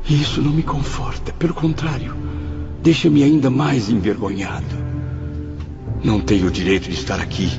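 A Brazilian actor playing a remorseful, tormented suicide recounting the afterlife in a solemn, theatrical radio drama. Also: male voice, lowish voice, gravelly. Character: remorseful, tormented male suicide narrating his anguished afterlife. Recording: muffled radio drama under prominent music.

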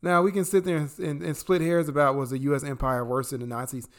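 A young adult man from the American Midwest co-hosting a casual political podcast, profane and emphatic, swinging between loud outbursts and low asides. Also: level tone, quick pace. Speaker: podcast co-host.

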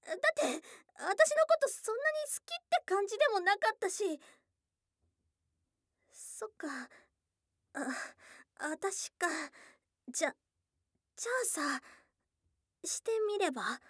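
A woman's voice: extremely high pitch, big pitch swings, slow pace, pauses often, fairly bright tone, dry recording, clean studio sound, full character voice. A woman voicing a shy, blunt young goblin girl in love, in an intimate ASMR voice drama with tomboyish, affectionate speech. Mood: flustered. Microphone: close dry studio mic.

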